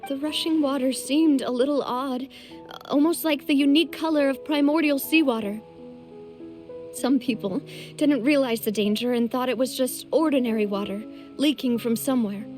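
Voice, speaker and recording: Casting: actress as young noble leader in a game; high voice, composed and grave, formal diction, faint music behind. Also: female voice, darkish tone, close to an everyday voice. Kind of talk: dramatic video game acting.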